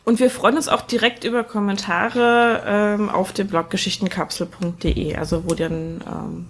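A woman's voice: medium pitch, fairly even intonation, normal speed. A woman co-hosting a podcast in a casual group conversation. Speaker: podcast co-host. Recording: clear background noise.

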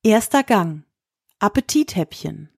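A woman reads a story as narrator in a mid-range voice. Her delivery is measured and literary, and the studio recording is very dry. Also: mid-range voice, big pitch swings, vocal fry, breathy edge, no echo.

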